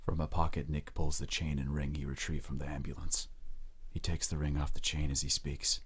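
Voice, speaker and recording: Very deep, husky male narrator. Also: little pitch movement, a little fast. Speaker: audio drama narrator. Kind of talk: dramatic scripted narration.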